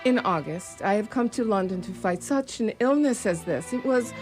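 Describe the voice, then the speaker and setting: Woman acting a solemn old professor in a radio play, formal halting english, polite, music underneath. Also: medium pitch, lively intonation, natural voice. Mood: grave, sorrowful. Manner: halting, formal, inverted phrasing.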